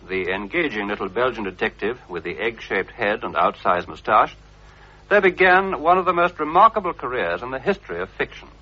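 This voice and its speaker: A man's voice: medium pitch, sharp tone, slightly rough. A man with a received-pronunciation British accent reading scripted documentary narration in a formal, polished manner. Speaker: radio presenter. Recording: dull, lo-fi old radio recording.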